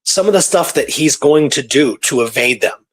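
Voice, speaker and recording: A man talking casually through a historical story as co-host, musing and hesitant, circling back over his phrases in a slightly husky voice. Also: a little high, lively intonation, normal speed.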